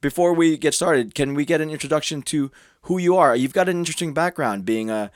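A man hosting a podcast interview, asking his questions in a brisk, friendly way. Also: a little high, no room sound, studio quality. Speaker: podcast host.

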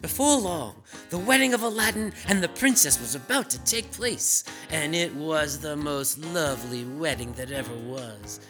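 A woman's voice: deep voice, expressive, moderate pace, hardly any pauses, a bit bright, soft background music. A woman narrating a children's story as storyteller, in a dramatic, playful manner.

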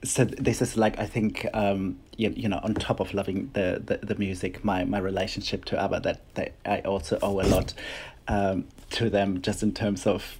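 A man's voice: fairly low pitch, little pitch movement, moderate pace, a little mellow, husky. A middle-aged man with a German accent, speaking English as a second language, chatting as an interview guest and often restarting his phrases. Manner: hesitant, many restarts and fillers. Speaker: guest choreographer and dancer. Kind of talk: casual interview chat.

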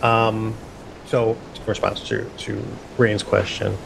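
A man playing a tabletop game, casually describing his character in halting, repetitive, improvised phrases. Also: little pitch movement, gravelly, a bit bright, low-quality recording. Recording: faint rumble behind voice.

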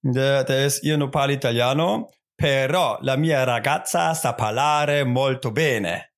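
Male podcast co-host, gravelly, chatty, teasing, halting delivery. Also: medium pitch. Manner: rambling, self-interrupting, joking. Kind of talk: casual remote podcast chat.

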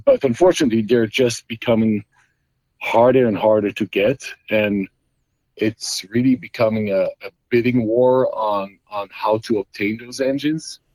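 Low-voiced Belgian man talking shop. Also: steady tone, moderate pace, darkish tone, no echo, poor audio. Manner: explains at length with many fillers and restarts. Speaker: guest, custom Jeep builder. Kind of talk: casual interview talk.

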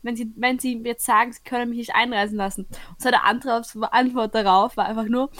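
A woman's voice: a little high, steady tone, fast, fairly bright tone, dry recording. Austrian woman telling a story. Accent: Austrian German. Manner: storytelling, repeats her openings when she starts a sentence. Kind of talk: casual chat.

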